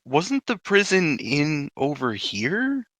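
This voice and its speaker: A man's voice: fairly high pitch, huge pitch swings, slow pace, a bit bright, dry recording. A man chatting casually and sweary as a player in a tabletop roleplaying game.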